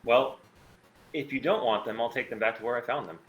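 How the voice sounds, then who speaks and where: A man's voice: mid-range voice, brisk, natural voice. A man playing a confident, evasive mercenary pilot with a cool, clipped delivery in a sci-fi table read. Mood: nonchalant. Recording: roomy echo with noticeable background noise.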